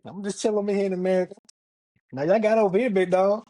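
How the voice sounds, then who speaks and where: A man's voice: sits high, fairly even intonation, fast talker, mellow, no room sound, studio quality. Laid-back slangy male podcast host. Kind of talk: casual interview chat.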